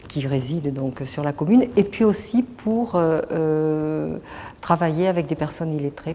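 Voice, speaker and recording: A middle-aged woman, a teacher, calmly explaining her association in an interview, with frequent hesitations. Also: sits low. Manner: measured, explanatory, many hesitations. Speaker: teacher running a French course association. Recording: echoey hall, faint background noise.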